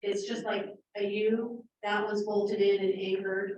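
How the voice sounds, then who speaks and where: Loud-voiced woman chairing a meeting. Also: medium pitch, flat delivery, a little slow, dull audio, a little hiss or hum. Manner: loud, direct, explains at length. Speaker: neighborhood district chair. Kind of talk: community meeting discussion. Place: city hall community room. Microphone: handheld mic, from a distance. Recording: echoey meeting room from far off.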